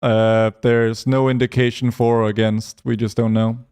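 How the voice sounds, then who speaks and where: A man from Europe streaming a game, reading chat aloud and answering it between quick asides about his play. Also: mid-range voice, little pitch movement, a little mellow, smooth. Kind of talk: casual gaming stream chat.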